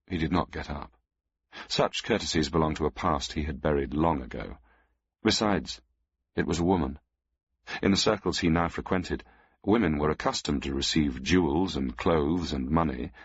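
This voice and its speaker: A man with a very deep, husky voice narrates a story in a measured, even way. The recording sounds dull and dry, with no room echo.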